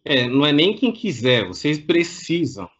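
A man's voice: a little high, big pitch swings, moderate pace, fairly bright tone, muffled recording. A man with a Brazilian Portuguese accent hosting a casual interview show in an effusive, informal way. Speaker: show host.

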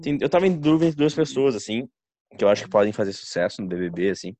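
A man's voice: mid-range voice, lively intonation, fast, darkish tone, no room sound. Blunt, ranting man, dry sound. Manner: blunt, ranting, swears freely. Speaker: podcast co-host. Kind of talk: casual chat among friends.

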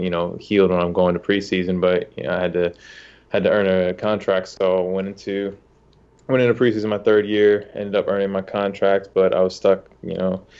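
A man's voice: deep voice, a little mellow, clear, resonant tone, muffled recording. A young man from the United States, a soccer goalkeeper, telling his story in a casual interview with many fillers and restarts.

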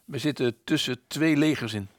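A man's voice: mid-range voice, normal speed, no room sound, clean studio sound, close to an everyday voice. A man playing a loyal, jovial British fighter pilot in a radio play, protective and exclamatory in his talk. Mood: calm, caring.

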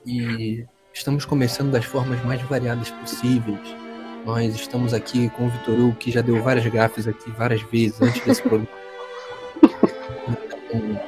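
A man of twenty hosting a casual podcast from home, joking and teasing his friends. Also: medium pitch. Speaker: podcast host.

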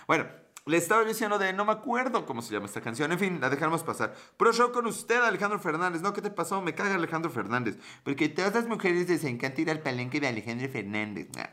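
A man's voice: a little high, sing-song, fast talker, pushed and bright. A man with a Mexican accent chatting casually on a livestream, greeting viewers and joking around with crude slang. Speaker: streamer. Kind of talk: casual livestream chat, reading viewer comments.

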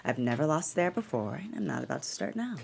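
A woman playing a famous talk show hostess in a screenplay read. Her voice sits slightly low and swings widely in melody. She is poised and in command, with sly, folksy showmanship. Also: sing-song, fast, vocal fry, darkish tone, husky, exaggerated voice. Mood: firm, guarded. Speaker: actor at a script table read. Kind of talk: dramatic screenplay table read.

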